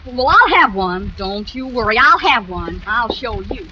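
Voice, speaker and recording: A woman's voice: a little high, big pitch swings, a little slow. A woman playing a lovestruck, easily offended teenage girl in breathless 1940s radio drama style. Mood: indignant. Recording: muffled, noisy old radio recording.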